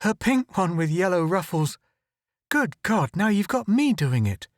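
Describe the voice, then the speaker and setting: A man voicing a small, nervous, wisecracking photographer who talks in a quick, excitable, wry way. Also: high voice, dry recording, studio quality, pitched above the performer's own voice.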